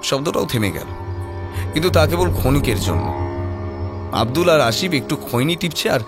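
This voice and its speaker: A man's voice: on the high side, expressive, moderate pace. Male horror narrator over music. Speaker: story narrator. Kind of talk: dramatic scripted horror narration. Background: prominent background music.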